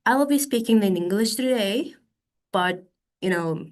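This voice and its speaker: Japanese woman, 30s, second-language english, lots of um and like, a bit stuffy, mid-pitched voice, video call. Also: lively intonation. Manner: careful, halting English with frequent fillers. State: stuffy from hay fever. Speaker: conference speaker. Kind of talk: conference talk over video call.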